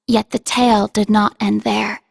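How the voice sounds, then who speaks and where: A woman acting in a video game as a solemn storyteller who narrates an ancient legend in measured phrases. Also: mid-range voice, level tone, creaky, slightly rough, exaggerated voice. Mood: solemn, grave.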